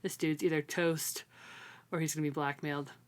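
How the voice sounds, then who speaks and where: A woman's voice: deep voice, steady tone, normal speed. A woman co-hosting a casual book discussion, talking in a slangy way and repeating words for emphasis. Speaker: podcast co-host.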